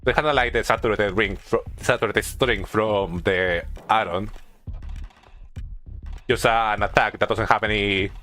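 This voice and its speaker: A man giving casual video game commentary as a streamer, haltingly thinking aloud and often repeating himself. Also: lowish voice, bright, forceful tone, slight background noise.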